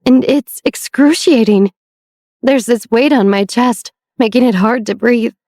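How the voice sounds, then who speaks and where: A woman voicing a young woman in severe pain, weak and raspy, pleading in halting, broken phrases. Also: a little high, a little mellow, no room sound, studio quality, pitched above the performer's own voice. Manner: halting, breaking off and repeating words.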